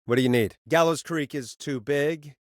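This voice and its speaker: A man's voice: on the high side, normal speed, no echo, close to an everyday voice. A man plays a male late-night radio DJ in a small town, heard close and dry with no room around him. He talks in a conversational, slightly hesitant way, wry and exasperated, with sarcasm under the on-air patter of a video game character. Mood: pleading, frustrated. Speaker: radio host. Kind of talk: scripted game voice acting, radio host patter.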